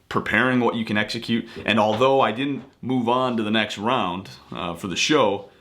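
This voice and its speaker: A man with a fairly low-pitched voice speaks as an actor passing on advice to viewers. His delivery is casual and conversational. He sounds earnest, restating key points to drive them home. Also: fairly bright tone. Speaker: actor giving acting tips. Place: home office.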